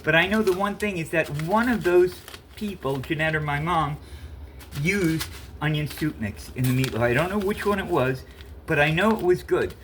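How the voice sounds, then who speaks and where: A man's voice: on the high side, normal speed, a bit bright, slight background noise. A man in his fifties with a stuffy cold, rambling and correcting himself as he cooks in his home kitchen. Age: 50s. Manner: rambling, self-correcting, chatty. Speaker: home cook vlogger. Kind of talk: casual cooking vlog.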